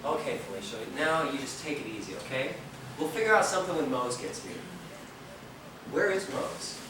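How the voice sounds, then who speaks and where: A weary, brooding musician played by a male stage actor, guarded and earnest in his delivery. He sounds distant in a very echoey hall, with clear background noise. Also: medium pitch, very animated, normal speed, close to an everyday voice. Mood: concerned. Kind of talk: stage play acting. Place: theater stage, far microphone.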